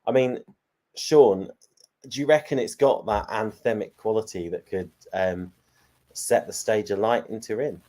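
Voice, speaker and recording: Low-voiced male host, chatty, loose and talkative. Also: unhurried, mellow. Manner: chatty, repeats words, asks the panel. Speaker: panel host. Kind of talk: casual panel chat.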